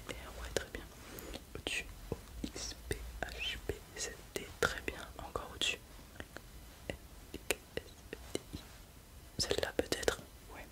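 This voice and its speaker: An ASMR creator whispering softly and without voice while running a roleplay exam, giving gentle praise after every answer. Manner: soft, unvoiced whispering throughout. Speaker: ASMR creator playing an examiner. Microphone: close mic with left and right ear whispering.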